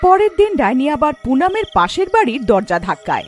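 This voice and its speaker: A woman's voice: sits high, sing-song, a little fast, over music. A woman narrating a scripted fairy tale as storyteller.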